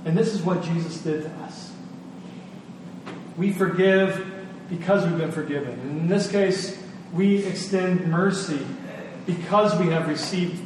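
A male pastor delivers a sermon in a fairly high voice, taking his time over each phrase. He repeats key phrases for emphasis and leaves pauses between them. The sound is very echoey, as in a big hall. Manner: deliberate, with emphatic repetition and pauses. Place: large reverberant room, live church service. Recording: echoey hall, distant mic, noisy.

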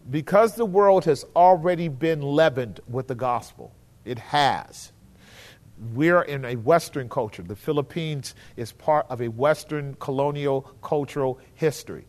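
A man's voice: mid-range voice, a little slow, a little mellow. A man leading a church Bible study, teaching in an earnest, emphatic preacherly way.

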